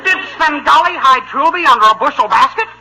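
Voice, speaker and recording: An actor playing a pompous, declamatory teenage boy with a raspy voice in a 1950s radio sitcom. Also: very high voice, animated, fairly quick, noisy background. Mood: pompous indignation. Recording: bright old radio recording.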